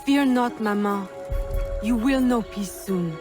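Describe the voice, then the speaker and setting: A woman's voice: fairly high pitch, slow. A woman playing a resolute, earnest young female assassin and devoted daughter in a video game cutscene. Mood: reassuring, resolute. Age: young adult. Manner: earnest, determined. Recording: background music.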